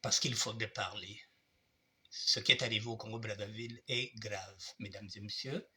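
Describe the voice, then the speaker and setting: A man's voice: fairly low pitch, fairly even intonation, normal speed, bright, forceful tone. An older man hosting his show, speaking to his audience in a formal, repetitive way while he has a cold. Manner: addresses audience formally, repeats himself. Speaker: online show host. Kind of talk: monologue commentary.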